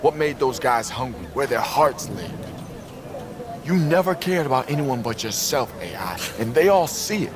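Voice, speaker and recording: An actor playing an earnest, preachy young pro basketball star with an American accent in a video game. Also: male voice, mid-range voice, sing-song, moderate pace, a crowd in the background, close to an everyday voice. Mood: stern, lecturing. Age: young adult. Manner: lecturing, earnest.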